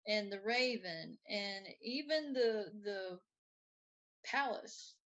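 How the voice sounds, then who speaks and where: A woman co-hosting a casual chat, speaking in halting phrases that often trail off. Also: medium pitch, lively intonation, fairly bright tone. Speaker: co-host.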